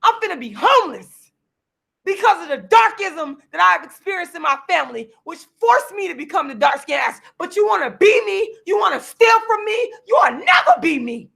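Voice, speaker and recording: A woman in her forties, losing her voice, delivering a heated, repetitive, preachy rant straight to the camera while she sits signing books, sometimes breaking into shouts. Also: very high-pitched, very animated, moderate pace, vocal fry. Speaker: vlogger and activist. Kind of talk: impassioned direct-to-camera rant. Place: seated at home signing books.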